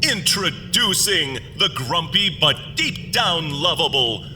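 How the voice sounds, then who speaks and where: High, slow, piercingly bright alien thespian, grandiose and florid, for audio drama; long reverb. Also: male voice, very animated, soft background music, exaggerated voice. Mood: grandiose, showy. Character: theatrical alien monster, pompous self-styled thespian playwright.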